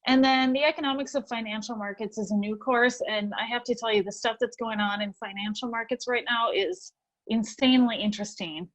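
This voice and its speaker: A woman's voice: a little high, a little fast. A woman presenting a graduate program in an online info session, friendly, with frequent "um" fillers.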